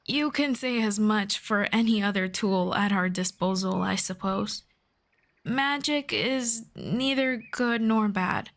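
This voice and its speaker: A woman voicing a gentle, teasing young elf friend in an unhurried fantasy roleplay audio drama in ASMR style. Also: medium pitch. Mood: calm, explaining.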